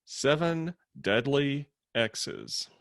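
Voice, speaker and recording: A man's voice: medium pitch, animated, extremely slow, vocal fry, a bit bright, dry recording, studio quality, full character voice. A man playing a sardonic, blunt young roommate in a comedy table read. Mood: teasing. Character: dry, sarcastic young male roommate, bluntly supportive.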